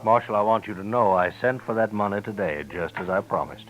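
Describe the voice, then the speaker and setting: A man's voice: on the low side, fairly quick, fairly bright tone, lightly acted. A man playing an evasive, overly polite American swindler in a 1950s radio western, with formal, defensive speech. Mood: courteous. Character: smooth-talking, evasive, shady frontier gentleman swindler. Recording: muffled 1950s radio recording.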